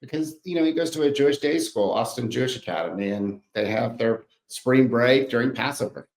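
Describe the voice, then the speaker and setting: A man's voice: medium pitch, a little fast, creaky. A man co-hosting a podcast, bantering in friendly, teasing casual chat. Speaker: podcast co-host. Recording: roomy echo.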